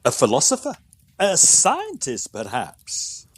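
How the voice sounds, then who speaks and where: A man's voice: fairly high pitch, wildly swooping pitch, slow, a bit bright, husky, heavily acted. A man playing a sceptical, inquisitive doctor in a period audio drama, probing and formal. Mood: intrigued. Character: sceptical, inquisitive male doctor questioning a patient.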